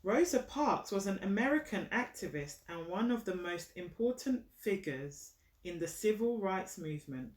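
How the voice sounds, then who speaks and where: A woman's voice: mid-range voice, lively intonation, moderate pace, hardly any pauses. A woman reading a children's picture book aloud to her child, teacherly, explaining as she goes and checking understanding with questions. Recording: roomy echo, distant from the mic.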